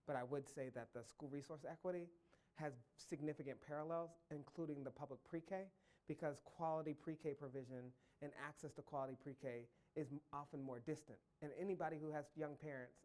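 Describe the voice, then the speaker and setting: A man's voice: fairly high pitch, a little mellow. A man, a professor answering audience questions at a public lecture, speaking in long, careful, thought-out sentences. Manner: measured, thinking aloud in long careful sentences.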